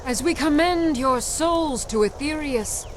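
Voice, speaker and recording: An actress playing a solemn priestess intoning ceremonial last rites in a fantasy video game. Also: female voice, high voice, unhurried, a little hiss or hum. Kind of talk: video game character acting.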